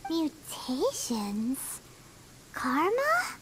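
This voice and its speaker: A woman voicing a tiny, childlike, excitable fairy companion in a video game, cheeky and chatty with a squeaky voice. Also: extremely slow, exaggerated voice, rough recording. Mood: curious. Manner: refers to itself in third person, exclamatory. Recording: loud noise behind the voice.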